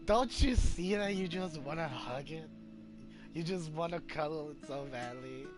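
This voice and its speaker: Gushing game streamer over loud music. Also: medium pitch, lively intonation, unhurried. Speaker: let's play streamer. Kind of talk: casual gameplay commentary. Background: video game music and sounds.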